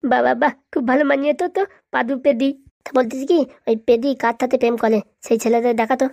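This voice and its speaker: Cartoon gang boss, a small boy played by a woman; childish lisp, threatening manner, very rapid delivery, dry close sound. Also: high voice, fairly even intonation, vocal fry, darkish tone, no room sound, lo-fi sound. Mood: cocky. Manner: bossy, threatening, scolding. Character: bossy little boy gang leader, cocky bully. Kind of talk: comic cartoon dialogue.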